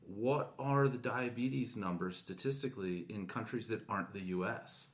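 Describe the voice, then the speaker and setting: A man's voice: on the low side, moderate pace. A man co-hosting a podcast, speaking in a casual conversational style. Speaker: podcast co-host.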